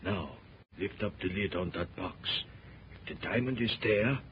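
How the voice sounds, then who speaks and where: An actor playing a greedy, excitable fortune hunter with a German accent in a 1930s radio serial. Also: male voice, sits low, normal speed, natural voice. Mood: greedy, wary. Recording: muffled, noisy 1930s radio recording.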